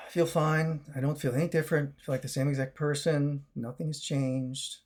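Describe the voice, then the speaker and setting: A man with a raspy, chesty voice, recovering after a long fast, talking casually to his viewers in a rambling way with many fillers and restarts. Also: fairly high pitch, level tone, darkish tone. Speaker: vlogger. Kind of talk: casual talk to camera.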